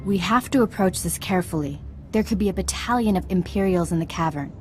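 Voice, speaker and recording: A woman playing a calm, thoughtful Jedi knight in a video game, speaking in a measured, earnest way. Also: on the low side, natural voice. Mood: earnest, focused. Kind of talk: scripted video game acting. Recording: music behind the voice.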